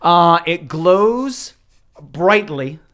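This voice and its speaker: A man's voice: high voice, expressive, extremely slow, fairly bright tone. A man acting as dungeon master, narrating a tabletop game casually, with joking and some swearing.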